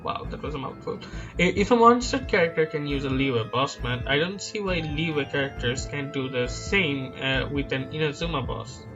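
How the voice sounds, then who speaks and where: A man's voice: on the high side, expressive, moderate pace, muffled recording. Casual, hedging young-sounding gamer man. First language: not Chinese. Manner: casual, self-deprecating, hedging with many uhs. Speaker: gaming YouTuber. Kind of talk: speculative game commentary over slides. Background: background music.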